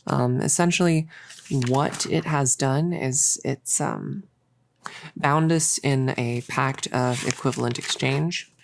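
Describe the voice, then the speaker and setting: A very low, creaky-voiced young cultist played by a woman in an intimate audio roleplay. She is bossy and possessive, with a giddy, playful smugness, and rambles casually as if thinking aloud. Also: slow, a little hiss or hum, heavily acted. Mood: rambling, smug explaining. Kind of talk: intimate roleplay audio, improvised-sounding monologue.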